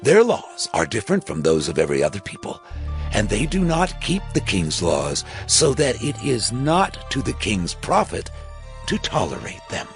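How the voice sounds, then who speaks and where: A man's voice: fairly low pitch, huge pitch swings, normal speed. Man acting a scheming, wildly swooping royal official. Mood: calculating, persuasive. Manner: formal, persuasive address. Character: scheming male royal official urging a king to destroy. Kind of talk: dramatized audiobook acting. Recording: music behind the voice. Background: music.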